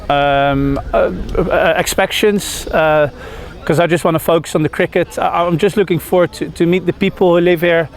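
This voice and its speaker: Man, thirties, from the Netherlands, slightly higher voice, hesitant, fillers, outdoor interview. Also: fairly even intonation, normal speed, hardly any pauses. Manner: hesitant, lots of fillers and restarts. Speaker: cricketer. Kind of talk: press interview.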